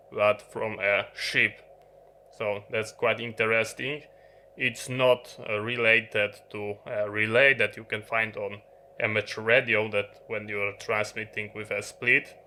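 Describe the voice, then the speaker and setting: A man presenting a device in non-native English, with a fairly low and notably bright, forward voice. He speaks very slowly in halting phrases, explaining without a script, with some background noise around him. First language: not English. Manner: halting, pausing mid-sentence. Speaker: video presenter. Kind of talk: unscripted product explainer.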